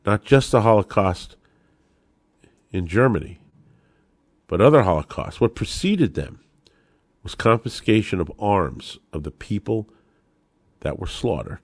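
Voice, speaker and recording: A man's voice: lowish voice, lively intonation, a little slow, long pauses, darkish tone, no room sound. A man with an American accent giving emphatic political commentary as a podcast host, talking straight to listeners with rhetorical turns. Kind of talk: political commentary on gun law.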